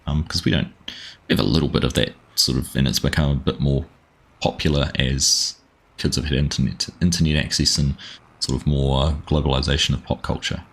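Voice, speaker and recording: A man's voice: a bass voice, steady tone, brisk, slightly rough. A man from New Zealand with a New Zealand accent, chatting casually with his live-stream viewers while playing a farming game, rambling and greeting them by name. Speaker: gaming livestreamer.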